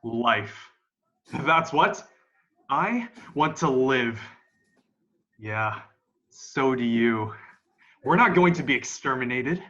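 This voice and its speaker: A young man playing a grim, defiant militia survivor of an alien invasion in an amateur radio play, with a folksy, halting, emphatic delivery. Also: medium pitch, animated, a bit bright. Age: young adult. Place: room at home. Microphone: video call. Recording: dull, lo-fi video call.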